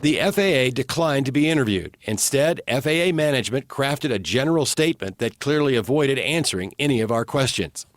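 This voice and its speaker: A man's voice: medium pitch, expressive, a little fast, few pauses, no room sound. An American man working as an investigative TV reporter, reading a scripted news report narration.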